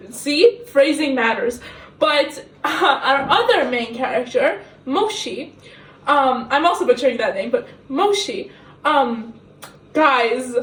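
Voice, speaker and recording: A young woman from the Middle East, not a native English speaker, gushes and giggles through a rambling book review. Her voice is high, and it echoes around a kitchen from a few steps away from the camera. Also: distant mic. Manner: giggly, excitable, self-mocking.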